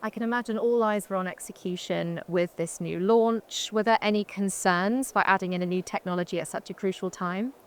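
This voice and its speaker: A woman's voice: medium pitch, steady tone, a little fast, few pauses. A woman moderating an on-stage interview, asking questions in an upbeat, encouraging way.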